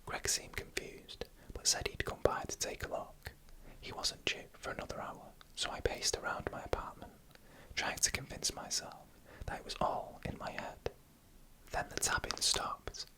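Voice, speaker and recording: A narrator telling stories in a breathy, unvoiced ASMR whisper, in hushed and deliberate short phrases. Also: low-quality recording. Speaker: narrator and channel host.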